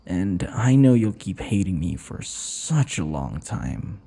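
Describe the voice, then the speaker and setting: A man playing a possessive, taunting vampire captor in an intimate ASMR roleplay, his breathy voice often dropping into whispers. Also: deep voice, big pitch swings, mellow, exaggerated voice. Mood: obsessive, tender. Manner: taunting, slow, drawn-out endearments. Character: possessive, obsessive male vampire captor and would-be lover. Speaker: audio roleplay voice actor.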